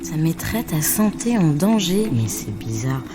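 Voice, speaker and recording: A woman's voice: sits low, big pitch swings, unhurried, over music. A woman playing a friendly, curious stargazer from another world in a fiction podcast, easygoing, naively questioning and reassuring. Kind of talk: fiction podcast acting, informal dialogue.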